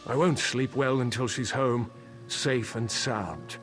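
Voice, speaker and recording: An actor playing a commanding middle-aged nobleman and worried father in a video game, authoritative and measured. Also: mid-range voice, a bit bright, soft background music. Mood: firm, concerned.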